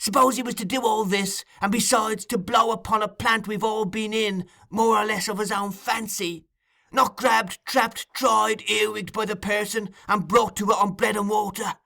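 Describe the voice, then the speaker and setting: A man voicing a cunning, raging old male crime boss in an audiobook, insistent and feverish, building to shouts. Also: extremely high pitch, creaky, husky, pitched above the performer's own voice, full character voice. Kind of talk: audiobook character acting.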